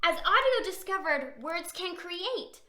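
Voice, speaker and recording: A woman's voice: extremely high pitch, expressive, unhurried, bright, forceful tone. A woman reading a picture book aloud to young children, with exaggerated wonder, sing-song stretched words and questions put to her listeners. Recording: roomy echo.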